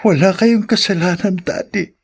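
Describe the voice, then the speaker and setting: An actor playing a sentimental young son in a Filipino radio drama, with drawn-out, emotional delivery. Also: male voice, sits high, husky. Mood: tearful, loving. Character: sentimental young son longing for his father.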